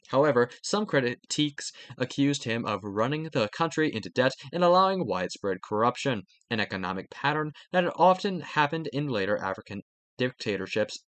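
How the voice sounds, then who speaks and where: A man reads a history textbook aloud in a lively, rising-and-falling voice, with an ordinary mid-range pitch. He sometimes trips and restarts a phrase, then turns to the student with a quick aside. The sound is close and dry, with no room echo. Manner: occasional stumbles and restarts. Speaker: textbook reader.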